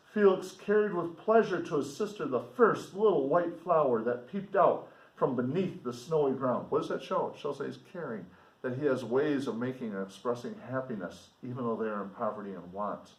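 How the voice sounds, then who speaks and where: A man reading a novel aloud as a teacher, in a deliberate, explanatory manner with casual asides. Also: mid-range voice. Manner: deliberate, explanatory, pausing to comment for students. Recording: roomy echo, distant microphone.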